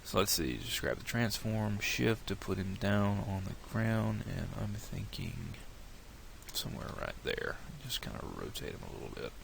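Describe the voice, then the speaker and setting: A man with a cold and a slightly nasal voice, walking through a software tutorial in a relaxed, rambling way, thinking aloud at his computer. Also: low-pitched, creaky, slight background noise. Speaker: tutorial creator.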